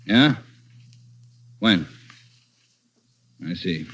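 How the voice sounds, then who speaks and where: An actor playing a police chief who gives brisk, commanding orders in a 1940s radio drama. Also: male voice, low voice, lively intonation, a bit bright, exaggerated voice. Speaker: police captain. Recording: dull, faintly hissy 1940s radio broadcast.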